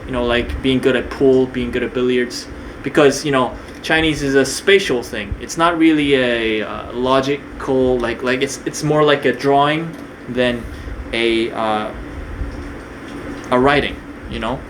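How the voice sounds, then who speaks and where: Young man from Korea, casual unscripted talk, lots of ums and restarts, even mid pitch, steady hum behind. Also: a little slow, low-quality recording. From Korea, raised partly in New Zealand. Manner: hesitant, many fillers and restarts. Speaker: video creator.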